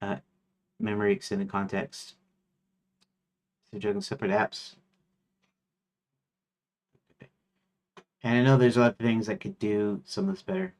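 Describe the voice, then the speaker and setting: Rambling low-voiced man streaming gamedev. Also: measured pace, gravelly, long pauses. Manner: rambling, hesitant, many fillers and restarts; reads chatbot feature lists aloud in a flat mutter. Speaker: game developer streaming his work. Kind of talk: casual thinking-aloud stream chat.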